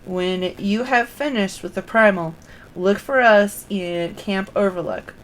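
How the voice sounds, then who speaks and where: A woman with a creaky voice live-streaming a game, speaking casually as she plays. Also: medium pitch, a little slow, a little hiss or hum, rough recording. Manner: thinks aloud in short fragments. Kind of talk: live game stream, reading quest dialogue aloud and muttering casually.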